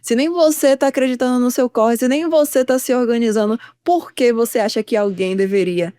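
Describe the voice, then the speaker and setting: A woman's voice: fairly high pitch, a little fast, mellow, smooth, no room sound, clean studio sound. A young adult woman from Bahia, speaking as a podcast guest in an earnest, assertive and fluent way. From Itacaré, Bahia, Brazil. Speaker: guest artist. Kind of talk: podcast conversation.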